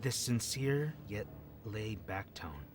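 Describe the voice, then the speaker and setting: Wry, low-voiced young-hearted slacker guy. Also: sing-song, takes it slowly. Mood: wary, joking. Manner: casual, slangy, hesitant. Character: wry, jokey man opening up about his troubled past. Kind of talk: acted audio drama dialogue.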